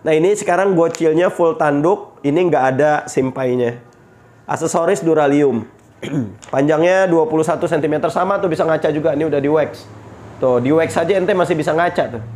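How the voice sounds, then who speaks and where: A man's voice: on the high side, a little fast, darkish tone. A man with a stuffy nose from a cold, chatting upbeat as he shows off knives for sale. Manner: chatty, upbeat selling patter with pious exclamations. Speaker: knife seller. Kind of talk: casual product showcase.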